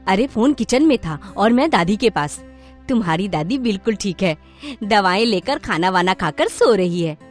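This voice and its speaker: A woman playing a middle-aged, worried mother in a moral story, with an expressive, fretting manner. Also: medium pitch, normal speed, faint music behind, close to an everyday voice. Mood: reassuring. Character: fretful middle-aged mother, worried about her son.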